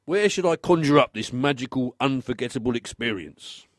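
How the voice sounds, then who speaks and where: A man's voice: medium pitch, expressive, moderate pace, dry recording, clean studio sound, close to an everyday voice. A man playing a put-upon, obliging odd-job man in a radio play, talking in a wry, incredulous, conversational way.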